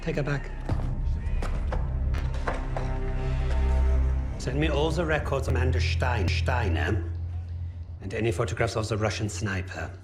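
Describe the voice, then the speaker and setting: A man's voice: medium pitch, a little fast, close to an everyday voice. A man playing a mocking Nazi officer and interrogator, with a silky, taunting, theatrical delivery in a game cinematic. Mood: brisk, perplexed. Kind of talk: cinematic villain acting. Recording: music under the dialogue.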